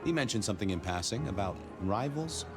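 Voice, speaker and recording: An actor playing a wry, world-weary vampire detective in a dry noir video game style. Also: male voice, lowish voice, animated, brisk, over music. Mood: courteous, businesslike.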